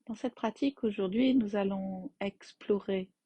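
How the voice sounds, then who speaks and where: A woman guiding a yoga nidra relaxation as its teacher, in gentle, unhurried phrases with long pauses. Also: medium pitch, breathy edge, dry recording. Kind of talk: guided meditation, slow soothing instruction.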